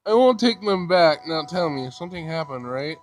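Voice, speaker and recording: A man's voice: a little high, animated, moderate pace, lightly acted. Visual novel role: prying, playful young woman performed by a man, teasing her friend.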